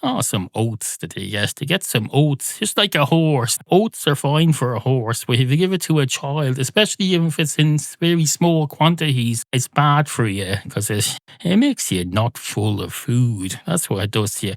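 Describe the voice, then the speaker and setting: A man hosting a solo comedy podcast, rambling in a deadpan way through absurd digressions. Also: medium pitch, normal speed, gravelly, dry recording, studio quality. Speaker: podcast host. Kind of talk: rambling comic solo monologue.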